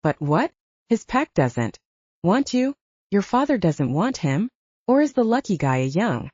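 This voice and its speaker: A woman's voice: mid-range voice, very animated, unhurried, darkish tone, slightly rough, no echo. Woman narrator as gushing young female cousin, teasing, swooping intonation, dull dry audiobook sound. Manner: effusive, teasing. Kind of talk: audiobook character dialogue.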